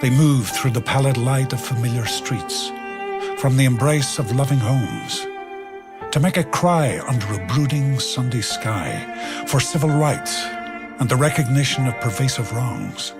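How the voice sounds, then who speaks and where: A man playing a solemn, measured narrator who recites poetic prose in a radio play. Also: medium pitch, animated. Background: loud music with fiddle.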